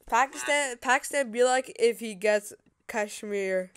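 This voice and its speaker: A woman chatting casually while playing a strategy game with a friend, excitable and joking, often tripping over her words. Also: fairly high pitch, unhurried, clear, resonant tone. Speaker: gamer streaming with a friend. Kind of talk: casual gaming chat.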